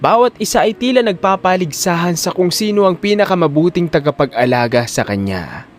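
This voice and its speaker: Male storyteller for audio drama; dramatic scripted narration, animated intonation, slightly raised register. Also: sits high, brisk.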